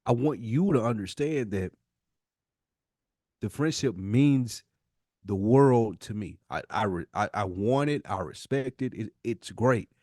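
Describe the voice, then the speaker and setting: A man's voice: fairly low pitch, animated, unhurried, mellow, dry recording, studio quality. An American man co-hosting a podcast in a candid heart-to-heart, speaking earnestly and emphatically and repeating himself to make his point. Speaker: podcast co-host.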